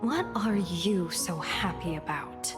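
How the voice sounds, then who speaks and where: An actress playing a composed older sister in a video game, measured and restrained. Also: female voice, lowish voice, over music. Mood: reserved. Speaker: game character. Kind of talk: game voice acting.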